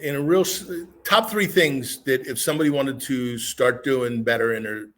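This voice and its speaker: A man with a raspy voice hosting a podcast interview, encouraging and motivational, rambling a little as he asks his questions. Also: mid-range voice, moderate pace, a bit bright. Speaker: podcast host.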